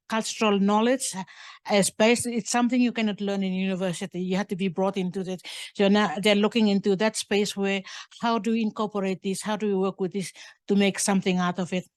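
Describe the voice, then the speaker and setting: An older woman answering questions as a guest speaker, unscripted, in a halting manner that often rephrases mid-sentence. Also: mid-range voice, no echo. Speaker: guest speaker and curator at a museum talk. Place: indoors at a museum talk event.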